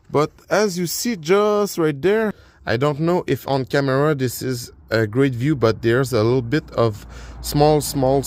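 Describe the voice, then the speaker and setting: A man with a French accent talks through a car repair while he works. His voice sits at an ordinary middle pitch, and he stops often on uh and repeated words. It sounds like a phone recording made in a garage and under the car. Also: a little mellow. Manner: halting explanations with frequent uh and repeated words. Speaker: DIY car repair vlogger. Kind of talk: casual hands-on repair walkthrough. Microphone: phone camera while working.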